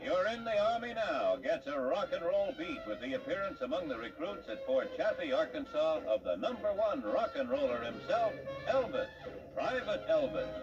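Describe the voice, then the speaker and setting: A man's voice: a little high, big pitch swings, moderate pace. An American man reading scripted 1950s newsreel narration in a dramatic, declamatory announcer style. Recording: muffled distant 1950s newsreel soundtrack, loud music. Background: loud music.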